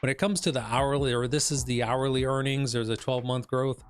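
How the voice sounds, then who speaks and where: A hoarse man whose voice is giving out talks through a business report on a live stream. He rambles with fillers and restarts, a business coach in casual mode. Faint music plays underneath. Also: mid-range voice, steady tone, a little fast. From West Michigan, United States. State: hoarse, losing his voice.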